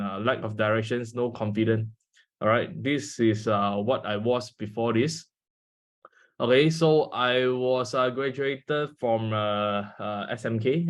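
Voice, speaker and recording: A man in his twenties with a Malaysian English accent, a native Mandarin speaker, giving a guest talk over a video call with frequent fillers. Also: medium pitch. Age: 20s. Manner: hesitant, many fillers, speaks to an audience. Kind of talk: online seminar talk.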